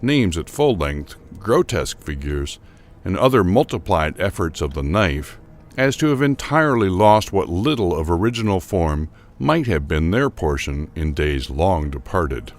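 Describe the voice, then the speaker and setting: A man's voice: sits low, very animated, a little slow. A man giving a formal, theatrical and emphatic narration of a gothic horror story, in the scripted style of an audio drama.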